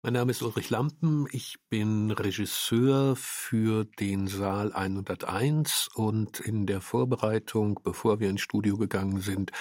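A man giving a reflective interview statement as a director, with deliberate, halting phrasing. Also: on the low side, no room sound, studio quality. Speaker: radio drama director.

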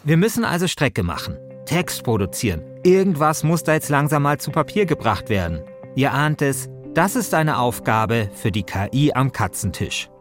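A man's voice: medium pitch, very animated, moderate pace. Male podcast narrator over music. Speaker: host and narrator.

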